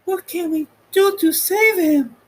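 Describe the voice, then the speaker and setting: A man's voice: extremely high pitch, takes it slowly, voice pushed up. A man voicing an earnest, polite young girl in a storybook reading. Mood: worried.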